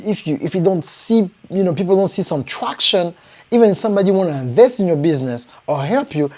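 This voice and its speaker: A man with a French accent, a native French speaker, giving a live motivational pitch to viewers as a business coach, emphatic and repetitive, with frequent rising bursts. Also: warm tone. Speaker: business coach promoting his event.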